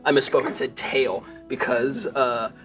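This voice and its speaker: A man's voice: medium pitch, fairly even intonation, measured pace. A man running a tabletop game, narrating casually and off the cuff as game master. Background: music.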